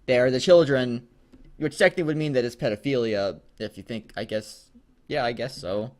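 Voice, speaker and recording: A man co-hosting a casual read-aloud show, bantering in a crude, giggly, animated way. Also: mid-range voice, brisk. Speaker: co-host of a fan fiction reading show.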